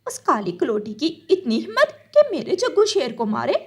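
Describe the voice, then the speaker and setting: A woman's voice: high-pitched, wildly swooping pitch, normal speed, darkish tone, pitched above the performer's own voice. A woman voicing a bad-tempered, haughty, scolding female crow in a cartoon. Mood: furious.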